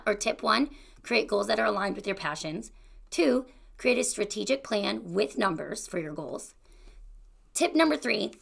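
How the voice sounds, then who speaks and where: Young adult woman, podcast host, casual encouraging monologue, gravelly fry in the voice. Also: medium pitch, fairly bright tone. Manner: chatty and encouraging, with fillers like "you know" and "like".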